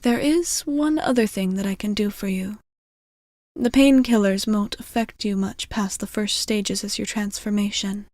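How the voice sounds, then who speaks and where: A woman playing a gentle, caring vampire bartender in an intimate ASMR roleplay, soft-spoken and reassuring, often slipping into whispers. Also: medium pitch, dry recording, natural voice. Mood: calm, explaining. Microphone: close ASMR microphone.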